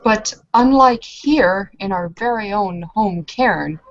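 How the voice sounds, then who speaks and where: A woman chats in character during a tabletop game. Her voice sits at an ordinary pitch, and the recording is dull and lo-fi, like an online call. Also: slow pace. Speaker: tabletop roleplaying player. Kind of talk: casual in-character roleplay chat.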